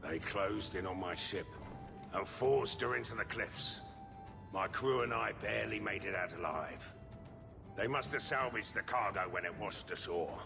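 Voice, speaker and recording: A shifty smuggler in a fantasy game, with a low-pitched voice. He sounds evasive and put-upon, over clearly audible music. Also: moderate pace. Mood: aggrieved. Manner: defensive, exasperated. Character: shifty, aggrieved male smuggler, evasive and self-pitying. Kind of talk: video game dialogue acting.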